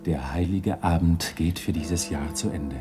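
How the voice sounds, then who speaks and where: A man acting a solemn spirit in a 1960s German radio drama, speaking in a grave, measured way. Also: low-pitched. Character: solemn male Spirit of Christmas Present. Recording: 1960s radio recording under loud music.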